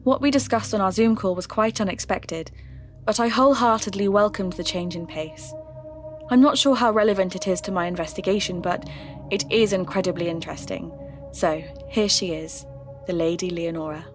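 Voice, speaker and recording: A woman playing a curious, polite podcast host and investigator, speaking in a measured, everyday way as in a scripted audio drama. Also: mid-range voice, music in the background, close to an everyday voice. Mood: earnest, narrating. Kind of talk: scripted narration and staged interview.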